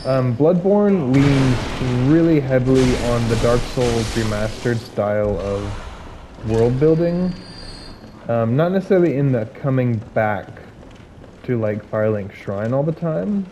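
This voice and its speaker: A man giving casual gameplay commentary, hoarse from illness, rambling with many fillers and restarts. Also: mid-range voice, animated, measured pace, warm tone, a little hiss or hum.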